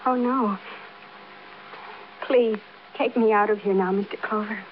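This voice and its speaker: A woman's voice: mid-range voice, fairly even intonation, unhurried, natural voice. An actress playing a fragile, newly widowed American woman, genteel, hesitant and politely evasive, in old-time radio drama. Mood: shaken, pitying. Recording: muffled, noisy vintage radio broadcast.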